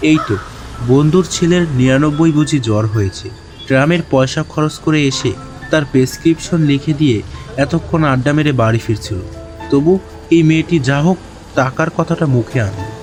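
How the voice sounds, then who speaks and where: A man's voice: fairly high pitch, a little fast, natural voice. A man playing a kindly young doctor who tells his own story in a reflective, literary way. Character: young, newly qualified male doctor recounting his tale, kindly. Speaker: narrator. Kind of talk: first-person scripted story narration with dialogue. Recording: clear music bed behind narration.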